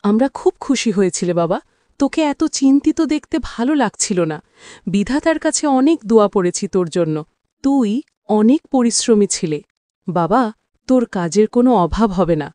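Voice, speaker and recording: A woman's voice: medium pitch, lively intonation, moderate pace, mellow, no echo, lightly acted. Doting old mother for a family cartoon, played by a woman; fond, fussing delivery, dry studio-close sound. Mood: tender, relieved. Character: doting elderly mother of a grown son. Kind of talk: cartoon drama dialogue.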